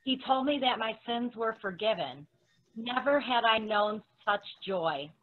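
A woman's voice: fairly high pitch, lively intonation, unhurried. A woman playing a grateful, devoted follower in an amateur dramatic reading, giving earnest testimony over a tinny video call. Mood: grateful, awed.

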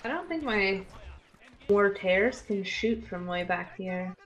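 A woman's voice: mid-range voice, animated, slow, muffled recording. A young woman chatting casually as she plays a game, playful and slangy. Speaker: gamer, co-player. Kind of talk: casual gameplay chat. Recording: game gunfire behind. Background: war game gunfire.

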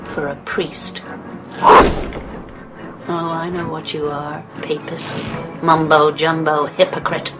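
A woman's voice: low-pitched, flat delivery, slow pace, long pauses, over music. A woman in a radio play voicing a mocking, vicious legion of demons inside a possessed girl, in a theatrical manner. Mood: venomous, gloating.

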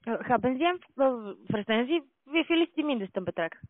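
Female call agent in her thirties, halting and broken, over a tinny, dry phone line. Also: fairly high pitch, animated, no room sound. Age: 30s. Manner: halting, struggles with the language. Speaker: scam call-center agent.

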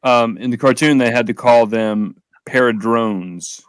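A man in his forties chatting casually and haltingly about collecting, laid-back, close to a desk microphone. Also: mid-range voice, clear, resonant tone, dry recording. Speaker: toy collector on a panel show. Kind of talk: casual chat. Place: small room full of shelves and boxes, indoors. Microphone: close desk microphone on video call.

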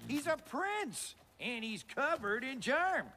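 A woman playing a breezy, reassuring magical well-wisher in an animated film, with a casual, drawn-out delivery. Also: high-pitched, full character voice. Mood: breezy, soothing. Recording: reverberant, with faint music.

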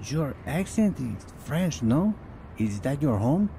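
A man's voice: medium pitch, very animated, slow, vocal fry, dark tone, slight background noise, exaggerated voice. An actor playing a friendly Ecuadorian man at the wheel, in an audio drama. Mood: friendly, curious. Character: friendly Ecuadorian man driving newly arrived visitors. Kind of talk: radio drama acting.

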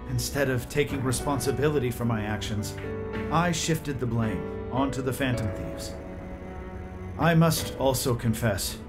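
An actor playing a remorseful middle-aged tech executive in a video game, speaking formally and solemnly as if making a public confession. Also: male voice, medium pitch, natural voice. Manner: solemn, formal, deliberate phrasing. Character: remorseful male tech CEO confessing his crimes. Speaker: company chief executive.

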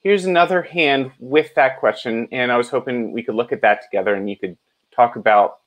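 A man co-hosting a bridge lesson on a video call, asking questions in a hesitant, soft-spoken way with murmured agreement. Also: medium pitch, a little fast, highs cut off. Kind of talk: casual chat on a video call.

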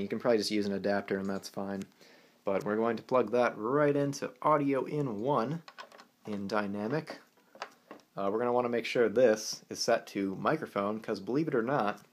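A man gives an informal, laid-back tutorial, recorded at arm's length in a bedroom. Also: on the low side. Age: 20s. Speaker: video tutorial presenter. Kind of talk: casual informal tutorial chat. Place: small bedroom, indoors. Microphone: phone or camera at arm's length.

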